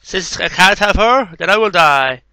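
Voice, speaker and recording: A man's voice: high voice, expressive, normal speed, pushed and bright, clean tone, no room sound, low-quality recording. A man in his thirties reading poetry as an amateur, with casual asides, into a headset mic in a small room. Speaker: amateur poetry reader and video maker. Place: small cluttered bedroom. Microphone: headset microphone.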